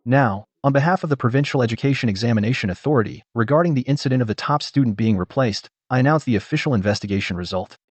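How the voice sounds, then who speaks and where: A man playing a supportive, kindly teacher and official in a dubbed radio drama, measured and husky. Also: medium pitch, dry recording, close to an everyday voice. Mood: formal.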